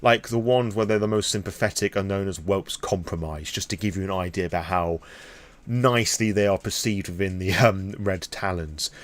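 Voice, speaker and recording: A British man with a fairly low-pitched voice speaks in an unscripted vlog style. He rambles through his opinions frankly, in loose, informal and sometimes sweary phrasing. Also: fairly even intonation, moderate pace. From Britain. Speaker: vlogger on tabletop roleplaying lore. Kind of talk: impromptu opinionated vlog monologue.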